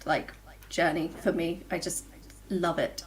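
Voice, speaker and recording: A woman's voice: on the low side, fairly even intonation, measured pace, rough recording. A woman who is an author chatting casually on a livestream, enthusiastic, informal and jokey. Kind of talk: casual chat.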